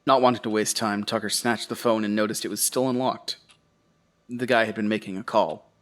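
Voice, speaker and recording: An actor playing a weary, uneasy survivor who tells his story in a halting, confessional way for an audio drama. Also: lowish voice, fairly even intonation, close to an everyday voice. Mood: weary, reflective. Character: weary, uneasy male survivor recounting a horror ordeal. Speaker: narrator and lead character. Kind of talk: audio drama first-person narration.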